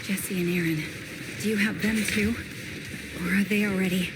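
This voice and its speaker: An actress playing a composed, resolute military sniper heroine in a video game, speaking in a measured, solemn way. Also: female voice, mid-range voice, fairly even intonation, heavy background noise, close to an everyday voice. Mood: concerned.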